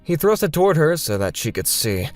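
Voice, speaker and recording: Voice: mid-range voice, big pitch swings, fairly quick, darkish tone. A narrator reading a scripted manga dub story in a dramatic storytelling style.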